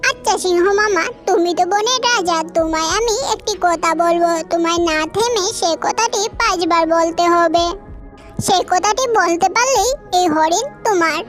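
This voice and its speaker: A woman's voice: very high voice, moderate pace, over music. A woman voicing a small, cheeky, song-loving bird girl in a children's cartoon, teasing in a sing-song way. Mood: cheeky, daring.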